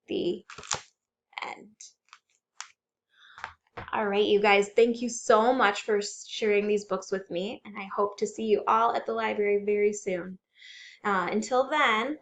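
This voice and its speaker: A woman's voice: medium pitch, lo-fi sound. A woman working as a children's librarian, reading aloud expressively with dramatic, suspenseful delivery. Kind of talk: animated children's story read-aloud.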